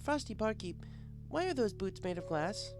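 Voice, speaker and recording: A man voicing an imperious, excitable pony princess in a story reading, with commanding, archaic royal speech. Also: sits high, normal speed, over music, pitched above the performer's own voice. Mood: curious, excited.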